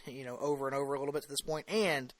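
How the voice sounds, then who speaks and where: A man's voice: medium pitch, fairly even intonation, racing, no echo. A man co-hosting a podcast, giving his opinions in casual fan discussion. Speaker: podcast co-host.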